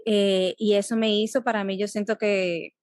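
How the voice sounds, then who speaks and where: A young Dominican woman speaks reflectively in a relaxed interview, her Dominican Spanish accent plain as she restarts thoughts and drops in English phrases. Her voice sits at an ordinary middle pitch. The sound is close and dry, with no room around it. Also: moderate pace, no echo. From Dominican Republic. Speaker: guest, psychologist. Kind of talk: casual interview conversation.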